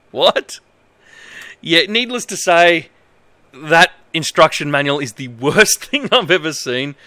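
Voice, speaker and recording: An Australian man in his thirties reading aloud on a livestream in a halting, exaggerated way, with incredulous asides. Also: on the high side, pushed and bright, no room sound. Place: indoor home streaming setup.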